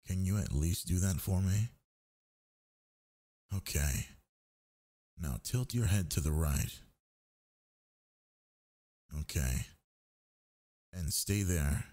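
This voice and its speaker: Needy, teasing boyfriend for intimate audio roleplay, male actor: slow, mellow, breathy, murmured coaxing. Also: low-pitched, heavily acted. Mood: teasing, tender. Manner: coaxing, murmuring, drawn-out words. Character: sleepy, needy, teasing gamer boyfriend, young man.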